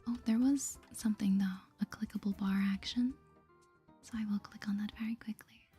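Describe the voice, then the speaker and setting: Breathy whispering woman gamer. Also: mid-range voice, steady tone, brisk, mellow, faint music behind. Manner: playful, gossipy reacting to the story. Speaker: gaming video host. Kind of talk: soft-spoken ASMR game playthrough, whispering.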